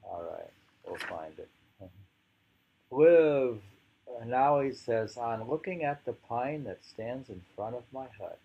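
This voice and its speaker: A man reading poetry aloud informally, musing and halting as he adds his own commentary in an unhurried way, on a dull home recording. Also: medium pitch, animated, vocal fry, dark tone, breathy edge. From United States. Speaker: poetry reader.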